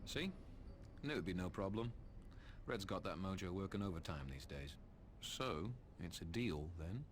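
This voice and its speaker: Cynical british vampire bad boy from a video game, english accent, low voice, slangy and sarcastic. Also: animated, a little slow, background noise. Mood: scheming, wry. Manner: sardonic, slangy. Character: cynical, cocky British male vampire, roguish reluctant ally. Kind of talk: video game cutscene acting.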